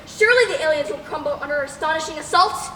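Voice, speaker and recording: A woman playing an excitable radio reporter in a melodramatic stage radio drama about disaster. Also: high voice, fast, distant mic. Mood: dramatic awe. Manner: melodramatic, breathless announcing. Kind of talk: mock 1930s radio drama acting on stage. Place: stage in a large hall. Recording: echoey noisy hall.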